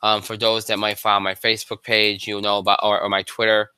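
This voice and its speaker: Male sports host, congested, high-sounding yet low-pitched speech, very bright and clean, dry close mic, loose rambling delivery. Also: monotone, fairly quick, clear, resonant tone, no room sound. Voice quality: high voice for a man. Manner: rambling with many fillers and restarts. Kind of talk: live solo sports show.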